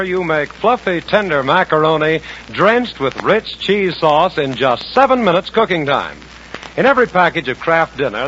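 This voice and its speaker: A male American radio announcer from the 1940s with a fairly high voice and a lively, swinging delivery. He reads scripted sales copy over a muffled, slightly hissy old broadcast.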